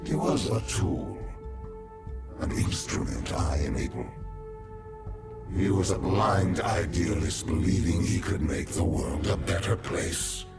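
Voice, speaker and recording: An actor playing a cold, domineering male villain in a video game, speaking with deliberate scorn. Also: sits low, expressive, echoey room, loud music behind the voice, heavily acted. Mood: cold contempt. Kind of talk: dramatic video game acting.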